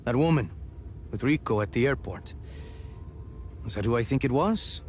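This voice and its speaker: An actor playing a coldly polite, menacing villain boss in a game, suave and condescending. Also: male voice, medium pitch, expressive, moderate pace, music in the background, lightly acted. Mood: coldly curt. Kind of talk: video game cutscene acting.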